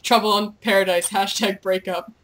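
A young woman chatting casually while gaming, excitable and playful, with goofy exclamations and bits of on-screen text read aloud. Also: medium pitch, moderate pace. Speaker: gamer, video creator. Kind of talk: casual gameplay chat, reading quest captions aloud.

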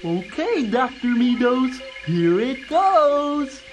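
A man's voice: very high voice, very animated, slow, over music, heavily acted. A man voicing an eager, naive little helper in a children's audio story, earnest and gee-whiz. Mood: dutiful.

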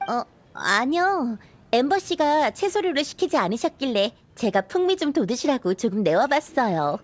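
An actress playing a polite, gently cheeky young tavern waitress with a courteous service manner in a video game. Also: female voice, high-pitched, big pitch swings, moderate pace.

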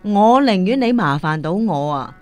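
A caring, firm singing teacher played by a woman in a Cantonese radio drama, Hong Kong accent. Her intonation is very lively and she speaks earnestly, over faint music. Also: mid-range voice, normal speed, natural voice. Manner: earnest, admonishing.

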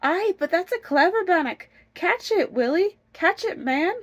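A woman voicing an excitable, eager cottage wife who exclaims her lines in a storybook fairy tale. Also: fairly high pitch, moderate pace. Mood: urgent.

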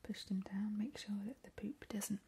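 A woman in a whispered ASMR roleplay, drawing out her words and repeating trigger words softly, recorded indoors at a table on a close mic. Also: medium pitch, moderate pace, slight background noise. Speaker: ASMR channel host.

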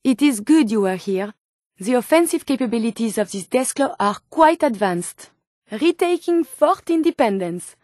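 High-voiced French robot scientist played by a woman. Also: animated, moderate pace, a little mellow, no echo, clean studio sound, natural voice. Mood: earnest, hopeful. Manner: precise, earnest, formal scientific phrasing. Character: eager, idealistic, curious female robot scientist. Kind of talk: scripted video game companion dialogue.

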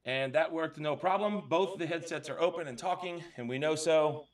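Man, slightly high voice, casual tech tutorial, conversational, explaining step by step. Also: moderate pace. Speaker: product tutorial presenter.

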